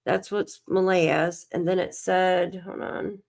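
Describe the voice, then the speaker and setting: A woman's voice: on the low side, level tone, slow, creaky, a little mellow. A tired woman hosting a casual livestream, reading documents aloud and muttering to herself as she searches, coughing and losing her voice. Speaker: livestream host.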